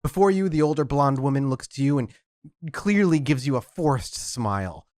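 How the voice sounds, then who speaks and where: A man as storyteller, narrating a tabletop roleplaying game in descriptive, unhurried speech with frequent fillers. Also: mid-range voice, expressive, a little mellow, no room sound, studio quality.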